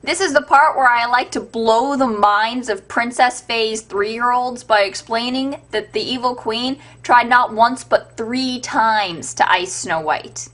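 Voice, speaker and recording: A woman's voice: fairly high pitch, a bit bright. A woman host giving an irreverent, sarcastic, sweary comedic retelling of fairytales as scripted narration. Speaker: storyteller and web show host.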